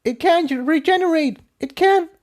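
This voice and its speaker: A man's voice: very high voice, unhurried, a little mellow, pitched above the performer's own voice. A man voicing a sceptical female fire-magic unicorn fighter in a storybook adventure, tense and doubtful. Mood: alarmed.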